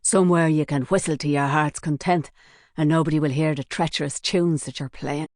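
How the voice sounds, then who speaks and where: A woman's voice: low voice, steady tone, brisk, a little mellow, no echo, studio quality. A woman voicing a cocky, menacing male gang leader who mocks his captive. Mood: mocking. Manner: mocking, sneering.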